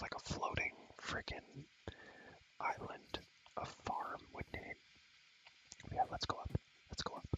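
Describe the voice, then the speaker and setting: A young man speaks in a breathy, unvoiced whisper with a very bright, sibilant edge. He chats casually as in an ASMR gaming video, using loose slang and softly echoing his own phrases. His delivery swings freely up and down. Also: extremely high pitch. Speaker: video creator showing a game world. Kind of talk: whispered ASMR game tour, casual chat.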